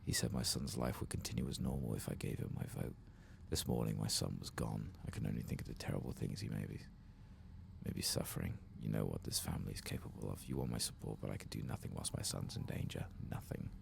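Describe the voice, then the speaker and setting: A man softly reading the part of an anguished elf parent from a fantasy game, in a hushed, creaky storytelling voice. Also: fast, a little hiss or hum, full character voice. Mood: anguished, pleading. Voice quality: deep, creaky. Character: anguished elf parent pleading for a kidnapped son. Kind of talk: soft reading of fantasy game quest dialogue.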